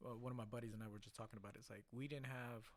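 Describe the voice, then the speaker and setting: A man from the Philippines, a podcast guest, telling stories from his life in a lively, casual way and often checking in with "right?". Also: mid-range voice, very fast. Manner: animated storyteller, keeps checking in with "right?". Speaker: podcast guest, casino host. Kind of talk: casual storytelling interview.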